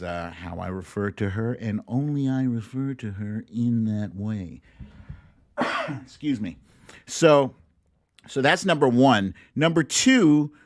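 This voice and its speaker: Slow-paced man hosting a casual livestream. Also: fairly low pitch, dry recording, clean studio sound. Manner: rambling, conversational, talks directly to the audience. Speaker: host of a livestream show. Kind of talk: casual, chatty livestream talk to viewers. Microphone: streaming microphone at a laptop setup.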